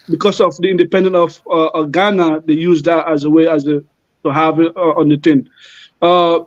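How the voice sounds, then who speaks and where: A man from Guinea, a native French speaker, explaining at length in casual talk, halting with many fillers and restarts. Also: sits high, dry recording. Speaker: panel guest. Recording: dull lo-fi dry sound.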